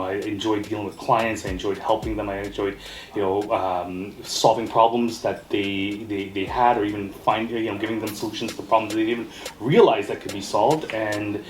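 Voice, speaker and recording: A man's voice: fairly low pitch, slight background noise. A man from Trinidad who grew up in Canada, giving earnest, rambling interview answers peppered with "you know" and "right?". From born in Trinidad, raised in Canada from age eight. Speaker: interview guest, mortgage brokerage owner. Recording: roomy echo, music playing behind. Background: music.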